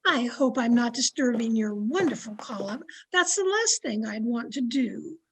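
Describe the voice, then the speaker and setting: A woman playing a sly, flattering old aunt in an old-time radio comedy, coy and sweetly confiding. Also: on the high side, normal speed, noisy background. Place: home, online video-call production.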